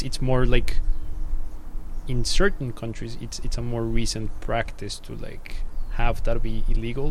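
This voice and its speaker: A man hosting an oral history, reading a formal introduction and then asking questions in a measured way. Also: medium pitch, creaky, slight background noise. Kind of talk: read introduction, then interview.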